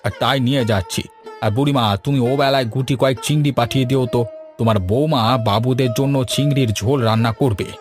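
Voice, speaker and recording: A man's voice: mid-range voice, steady tone, fast, music in the background, close to an everyday voice. A man voicing a village husband and father in a cartoon, easygoing but able to be stern. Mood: cheerful. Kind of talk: cartoon voice acting.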